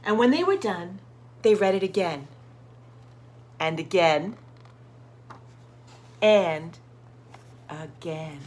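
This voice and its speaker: A woman hosting children's storytime, reading expressively to young listeners and addressing them directly. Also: fairly low pitch. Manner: slow, expressive, sounds out words and asks listeners questions. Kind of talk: picture book read-aloud for young children.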